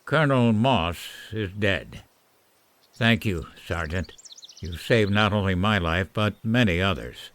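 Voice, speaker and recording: A man playing an earnest, peace-seeking, fatherly professor who pleads deliberately in an old-time radio drama. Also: low voice, lively intonation, fairly bright tone. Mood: sombre, hopeful.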